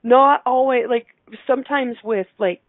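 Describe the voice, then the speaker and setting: A middle-aged woman from Canada chatting casually and effusively as an interview guest, playful and quick to laugh. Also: sits high, no echo. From Alberta, Canada. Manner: effusive, playful, laughs often while talking. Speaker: interview guest, radio show host. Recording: narrow, phone-like line.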